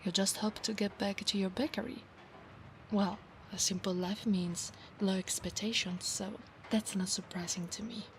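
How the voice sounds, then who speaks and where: A woman with an Italian accent playing a proud, obsessive female centaur knight in a soft-spoken, formal ASMR roleplay, often dropping into breathy whispers. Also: mid-range voice, fairly even intonation, normal speed, natural voice, rough recording. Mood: earnest. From Italy. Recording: clear background noise, ambience.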